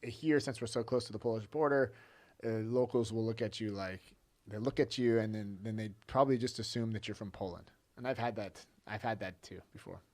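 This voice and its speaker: A man's voice: on the low side, fairly even intonation, brisk, a little mellow. A man co-hosting a podcast, chatting casually with lots of fillers and easy laughter. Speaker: podcast co-host. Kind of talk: casual chat.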